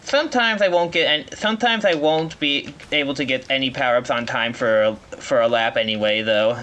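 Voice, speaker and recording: A man giving live speedrun commentary, explaining as he plays in a halting way, often rephrasing mid-sentence. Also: medium pitch, a bit bright, lo-fi sound, a little hiss or hum. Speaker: speedrunner playing at a marathon.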